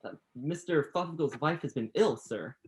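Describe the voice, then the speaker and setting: A man's voice: fairly high pitch, expressive, normal speed, a little mellow, lightly acted. An actor playing a timid, deferential male clerk in a radio play, hesitant and apologetic. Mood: meek, hesitant.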